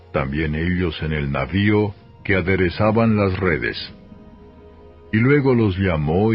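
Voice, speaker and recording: A man with a Castilian Spanish accent reads scripture as a solemn, measured, formal narration. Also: low voice. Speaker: Bible reader. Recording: dull lo-fi audio, music behind. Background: music.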